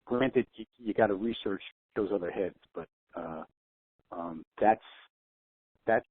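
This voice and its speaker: A man's voice: fairly low pitch, unhurried, husky, no echo. A man co-hosting a conference call, talking in an earnest, stumbling way and repeating himself. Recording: tinny phone line.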